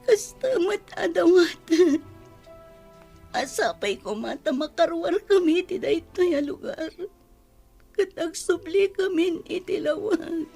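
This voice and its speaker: Anguished young mother, high-pitched, Filipino radio drama. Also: lively intonation, slow pace, creaky, warm tone, soft background music, full character voice. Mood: anguished, tearful. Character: anguished young village mother, fearful and pleading.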